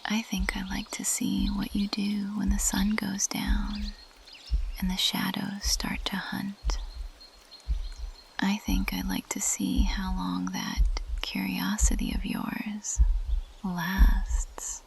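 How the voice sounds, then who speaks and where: A woman playing an ancient, possessive female plant monster in an ASMR roleplay, in a breathy, intimate, teasing whisper. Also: mid-range voice, monotone. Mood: teasing menace. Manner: intimate, teasing, slow and deliberate.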